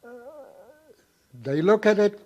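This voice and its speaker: Husky-voiced man in his nineties. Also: sits high, a little mellow, dull audio. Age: 90s. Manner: halting, reminiscing. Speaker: interviewee. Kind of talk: oral history interview.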